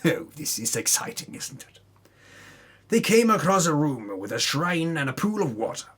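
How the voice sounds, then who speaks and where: A man's voice: on the high side, sing-song, normal speed, fairly bright tone, breathy edge. A man narrating a tabletop campaign recap as a dramatic storyteller, with theatrical delivery and casual asides.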